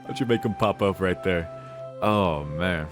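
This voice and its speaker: Low-pitched man, laid-back gaming commentary. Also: unhurried. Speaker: let's play streamer. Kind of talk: casual gaming commentary, reading subtitles aloud. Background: game music.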